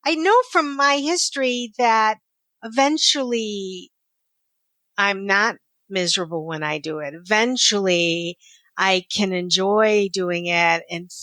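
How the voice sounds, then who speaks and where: A woman's voice: medium pitch, a bit bright, no room sound. A woman guest on a podcast in casual conversation, earnest and reflective, laughing lightly at herself.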